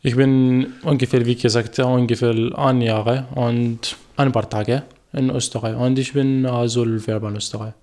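Young Iraqi man in halting German. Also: medium pitch, flat delivery, darkish tone. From Iraq. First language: Arabic. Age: 20s. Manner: halting learner's German with many fillers and restarts. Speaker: guest, former journalist. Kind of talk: radio interview.

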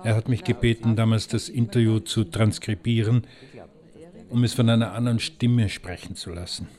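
Lowish-voiced man narrating, measured. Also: fairly even intonation, warm tone. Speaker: interviewer and narrator.

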